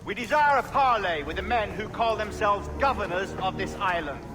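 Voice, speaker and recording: High-voiced, courtly royal governor. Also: male voice, animated, background noise. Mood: formal, firm. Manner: formal, measured, courtly. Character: courteous, commanding male royal governor, coolly menacing. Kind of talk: video game character acting. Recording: loud music and outdoor ambience behind.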